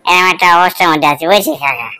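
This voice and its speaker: A woman's voice: lowish voice, expressive, very fast, bright, forceful tone. A woman voicing a miserable, comically self-pitying married village man who complains in coarse slang, in a cartoon. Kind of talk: cartoon comedy dialogue.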